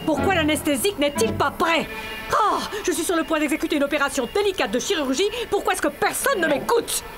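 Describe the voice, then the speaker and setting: A woman's voice: high-pitched, a little fast, close to an everyday voice. A woman voicing a bossy, impatient animal surgeon in a children's cartoon, brusque and indignant. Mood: exasperated. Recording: music behind dialogue.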